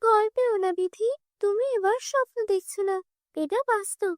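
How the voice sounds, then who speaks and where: A woman voicing a calm kind fairy. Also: very high-pitched, normal speed, no echo, studio quality. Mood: reassuring. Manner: calm, reassuring. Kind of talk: cartoon dubbing.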